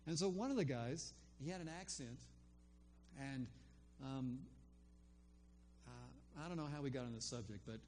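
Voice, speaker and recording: A man's voice: mid-range voice, animated, normal speed, a little mellow, slight background noise. An older man telling a personal faith story in a loose, rambling way, full of ums and restarts. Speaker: storyteller recounting a faith conversation. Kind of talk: casual spoken testimony.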